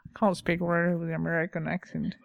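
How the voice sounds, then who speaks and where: A woman with a French accent giving a slow, pausing dharma talk as a meditation teacher. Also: fairly low pitch, level tone, vocal fry, dry recording, highs cut off.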